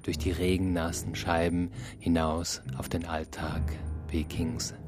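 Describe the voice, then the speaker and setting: A man narrating a radio play in the second person, with measured, deliberate phrasing and pauses. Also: low voice, little pitch movement. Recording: music under the voice. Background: instrumental music.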